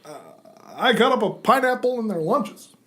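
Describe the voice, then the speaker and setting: A man's voice: very high-pitched, animated, moderate pace, fairly bright tone. A man playing a put-upon, awkward suburban dad in an amateur comic reading, with a whiny, protesting manner. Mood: indignant.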